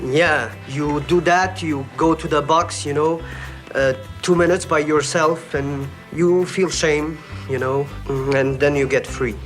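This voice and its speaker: A man in a played clip gives rambling, deadpan answers in a fairly high voice. Clear guitar music runs under him. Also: measured pace.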